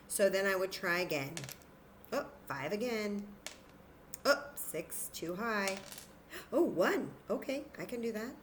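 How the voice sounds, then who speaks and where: A woman's voice: mid-range voice, a bit bright, a little hiss or hum. A woman teaching young children in an interactive demonstration, patient and encouraging, thinking aloud as she goes. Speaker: children's story time teacher.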